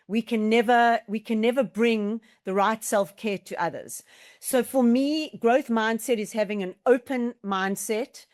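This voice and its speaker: A middle-aged woman with a South African accent, answering interview questions in an emphatic, explanatory, conversational way. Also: on the high side. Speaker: podcast guest, team-building business leader.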